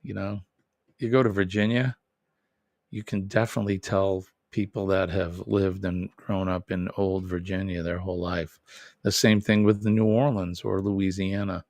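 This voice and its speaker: A man's voice: low-pitched, level tone, normal speed, darkish tone, dry recording. A man with a Boston accent hosting a casual live stream, rambling and correcting himself as he chats with viewers. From New England. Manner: rambling, self-correcting, chuckles often. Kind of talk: casual live chat reading viewer comments.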